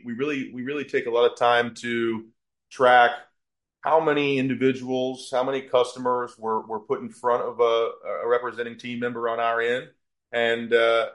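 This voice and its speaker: A man speaking as a business-owner guest on a podcast, explaining conversationally and often repeating words as he goes. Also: mid-range voice.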